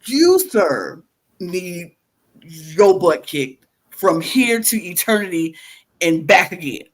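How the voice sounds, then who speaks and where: A woman's voice: on the low side, sing-song, takes it slowly. A woman co-hosting a wrestling podcast, ranting in an emphatic, fiery way and repeating her points. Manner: fiery, emphatic, repetitive outbursts. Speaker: podcast co-host. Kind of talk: heated casual rant.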